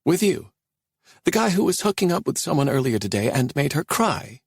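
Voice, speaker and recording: A man's voice: fairly high pitch, big pitch swings, normal speed, husky, no room sound, pitched above the performer's own voice, exaggerated voice. A man putting on a wry college girl. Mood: pointed. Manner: dry teasing. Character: wry, guarded young woman, a college student.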